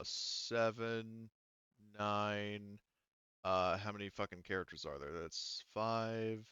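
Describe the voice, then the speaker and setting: A man improvising as game master of a tabletop game, thinking aloud in a halting, casually profane way. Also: lowish voice, pushed and bright, clean tone, no room sound, dull audio.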